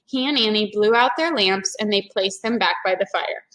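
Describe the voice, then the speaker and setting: A woman's voice: mid-range voice, normal speed, fairly bright tone. A woman reading a children's story aloud in a teacherly way, explaining things to young listeners. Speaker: teacher-like read-aloud reader.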